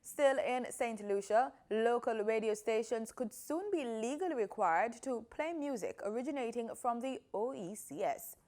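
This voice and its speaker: Female news anchor, Jamaican accent, fairly high voice, formal scripted delivery. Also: animated, normal speed, hardly any pauses, a little mellow.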